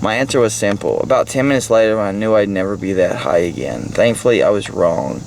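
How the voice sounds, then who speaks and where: An American man with a low voice and a gravelly fry rambles casually through a book review. He is crude and irreverent, swearing freely and jumping between his own remarks and bits read aloud. There is faint noise behind him in the car. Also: a little fast, darkish tone. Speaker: vlogger giving a book report. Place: inside a parked car. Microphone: handheld phone or camera at arm's length. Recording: handheld recording with faint background noise.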